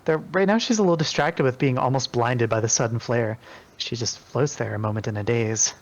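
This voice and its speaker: A man's voice: mid-range voice, brisk. Man reading aloud on a dull lo-fi mic. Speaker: participant and narrator in a group reading. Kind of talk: reading aloud and casual group chat. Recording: dull, lo-fi microphone sound.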